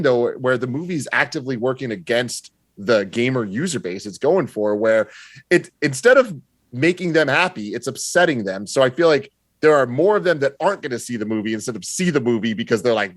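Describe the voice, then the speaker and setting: A man's voice: medium pitch, lively intonation, a little fast, dry recording. A man speaking as a guest on a podcast, chatting casually and giving his opinions.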